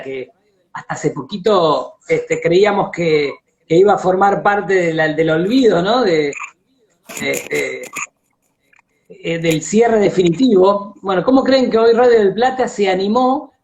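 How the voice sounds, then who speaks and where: An Argentine man hosting a casual, reflective live conversation over a video call, talking haltingly with frequent tag questions. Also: high voice. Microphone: live social media video call. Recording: dull lo-fi internet call audio.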